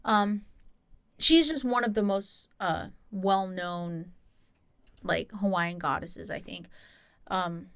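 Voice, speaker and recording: A woman's voice: fairly low pitch, slow, vocal fry, long pauses, fairly bright tone, clear, resonant tone, dry recording. Woman telling a story casually, dry close sound. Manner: informal, giggly, self-interrupting asides. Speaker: podcast co-host and storyteller.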